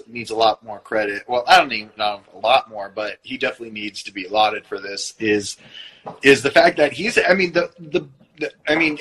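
A man's voice: mid-range voice, a bit bright, a little hiss or hum, low-quality recording. A young man co-hosting a wrestling podcast, talking in a rambling way with lots of restarts. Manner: rambling, many restarts and fillers. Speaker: podcast co-host. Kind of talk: casual wrestling chat.

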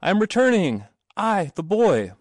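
A man voicing an eager, earnest young boy in a storybook reading, exclamatory and excitable. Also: medium pitch, brisk, no echo, studio quality, close to an everyday voice. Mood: excited, joyful.

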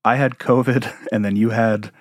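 A man's voice: medium pitch, steady tone, moderate pace, no echo, studio quality. A man co-hosting a podcast, talking in a joking, easygoing way. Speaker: podcast co-host. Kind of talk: casual podcast chat.

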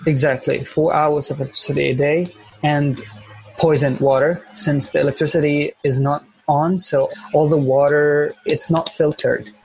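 A man's voice: on the high side, steady tone, normal speed, vocal fry, a little mellow, breathy edge, no echo, rough recording. A young man from Syria with a Syrian Arabic accent, speaking English as a second language, talking earnestly and repeating phrases as he searches for words. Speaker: interview guest, volunteer teacher. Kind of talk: earnest conversational interview.

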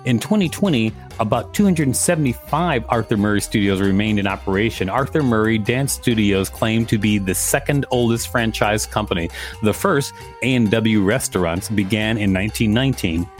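A man's voice: on the low side, normal speed, soft background music. A man co-hosting a podcast, reading encyclopedia text aloud and adding casual remarks. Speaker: podcast co-host.

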